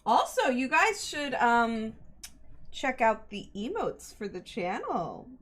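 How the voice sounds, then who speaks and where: A woman's voice: a little high, sing-song, takes it slowly, fairly bright tone. A woman hosting a livestream, chatting casually to her viewers in an apologetic, giggly manner. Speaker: livestream host. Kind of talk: casual stream chat to viewers.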